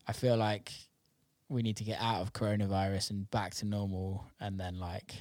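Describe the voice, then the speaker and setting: A man's voice: lowish voice, flat delivery, moderate pace, a little mellow, no echo. Sweary, informal man in a dry recording. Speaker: music producer and interviewer. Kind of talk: casual chat.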